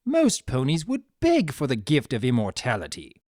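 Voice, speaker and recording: A woman's voice: very deep voice, huge pitch swings, normal speed, warm tone, no room sound, clean studio sound, heavily acted. A woman voicing a sly male chimera trickster from a storybook, theatrical, drawling, sardonic and mock-innocent. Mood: exasperated, sardonic.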